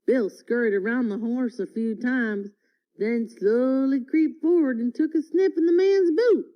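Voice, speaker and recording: A woman reading a folksy tall tale aloud in an expressive, deliberate storytelling manner. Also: fairly high pitch. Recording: dull, lo-fi audio.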